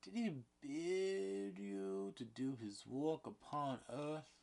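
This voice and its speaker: A man's voice: animated, slow, gravelly, darkish tone, heavily acted. A man voicing a stern, pompous older male judge who presses a prisoner for a confession, in a read-aloud play. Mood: pressing. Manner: insistent, officious, raised pitch.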